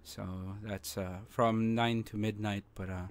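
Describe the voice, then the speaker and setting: Laid-back Filipino-accented gaming streamer. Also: male voice, lowish voice, fairly even intonation, unhurried. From Philippines. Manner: laid-back, chuckling, chatty with teammates. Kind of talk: casual gaming stream chat. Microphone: desk microphone.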